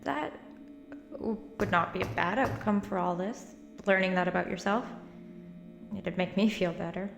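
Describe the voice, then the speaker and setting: An actress playing an anxious, determined young woman in an audio drama, halting and earnest, recorded with roomy echo. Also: medium pitch, vocal fry, music in the background, close to an everyday voice. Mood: awkward, apologetic. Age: young adult. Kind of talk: naturalistic audio drama acting.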